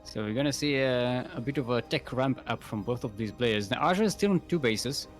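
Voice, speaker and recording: A man calling a video game match with a lot of up-and-down movement in his voice. He hesitates with fillers as he follows the play. Faint music runs under him. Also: medium pitch. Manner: halting, many fillers, lively play-by-play. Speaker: esports caster. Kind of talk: live game commentary.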